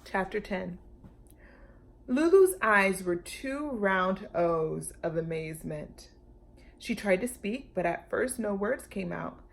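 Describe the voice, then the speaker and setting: A woman's voice: medium pitch, lively intonation, slow pace, vocal fry. A woman reading a children's story aloud expressively, as a storytime host speaking to young listeners. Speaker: storytime reader.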